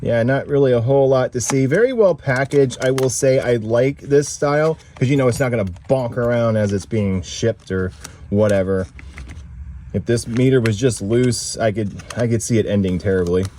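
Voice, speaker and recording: A man's voice: medium pitch, normal speed, dark tone, slight background noise. A man with a mid-range voice presents a product review. He talks casually, as the host of his own video. His delivery is plain-spoken and explanatory, walking the listener through things step by step. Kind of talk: casual unboxing and review narration.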